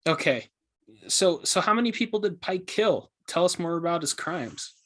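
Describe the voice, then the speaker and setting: A man playing an eager, curious podcast host who asks questions in an earnest, excitable, conversational way. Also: sits high, moderate pace. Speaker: podcast host interviewing a guest. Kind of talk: conversational scripted podcast interview.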